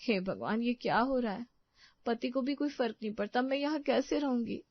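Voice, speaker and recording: A woman's voice: on the high side, moderate pace, no room sound, dull audio, pitched above the performer's own voice, close to an everyday voice. A woman voicing an overworked, aggrieved young daughter-in-law, plaintive and protesting, in a family drama story. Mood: despairing.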